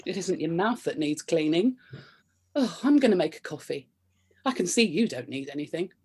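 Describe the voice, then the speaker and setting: An actress playing a prim, suspicious middle-aged wife in a comic radio play, scolding and sarcastic. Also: female voice, fairly low pitch, expressive, normal speed, darkish tone, slightly rough.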